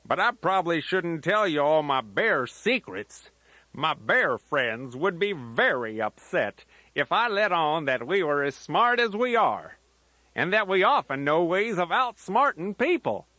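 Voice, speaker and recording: Children's toy tape grandpa bear: elderly, folksy, playful rambler, slow delivery, very animated swooping intonation. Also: male voice, on the high side, dry recording, exaggerated voice, poor audio. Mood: folksy, confiding. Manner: folksy, rambling, sing-song rhyming asides.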